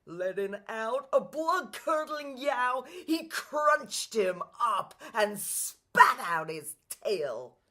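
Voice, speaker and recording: A woman voicing an excitable, tactless, boastful mouse in a children's radio play, telling things in an animated, dramatic way. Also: fairly high pitch, drawn-out words, few pauses, voice pushed up, full character voice. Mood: gleeful, dramatic.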